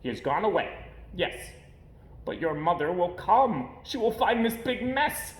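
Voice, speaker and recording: A man's voice: high-pitched, very animated, measured pace, reverberant. A man voicing a fretful, scolding pet fish in a children's storybook, with urgent, alarmed exclamations. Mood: worried. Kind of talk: children's storybook read-aloud.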